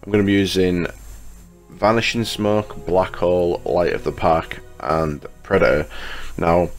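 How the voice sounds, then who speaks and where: A man's voice: deep voice, steady tone, a little slow. A man giving a casual, chatty video game guide as a YouTube host, sounding slightly congested with a cold. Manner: informal, chatty, addresses viewers as guys. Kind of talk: casual video game guide commentary over gameplay menus. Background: music.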